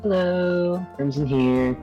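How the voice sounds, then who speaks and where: A guest on a remote voice call, chatting casually in a hesitant, low-key way. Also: takes it slowly, muffled recording, music in the background. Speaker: guest co-player. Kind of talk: casual chat.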